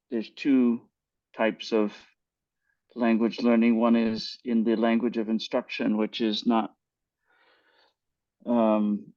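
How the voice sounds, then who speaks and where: An older man on a headset video call, answering a webinar question in a thoughtful, measured way with frequent restarts. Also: fairly low pitch, a little mellow, no echo. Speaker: guest speaker. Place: home room with virtual background. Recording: dull, dry video call audio.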